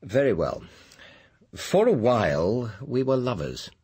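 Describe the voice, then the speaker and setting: An actor playing a formal, guarded senior scientist with an English accent in a radio drama, speaking in a measured, articulate way. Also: male voice, mid-range voice, sing-song, darkish tone, slightly rough, full character voice. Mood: guarded, cool. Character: smooth, guarded male senior scientist, director of a power station. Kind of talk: British radio drama acting.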